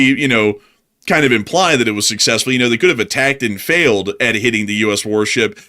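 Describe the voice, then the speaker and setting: A man gives detailed analysis on a live news stream, building long explanatory sentences. His voice has a bright, forward edge and sits at a middle pitch. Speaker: co-host analyst.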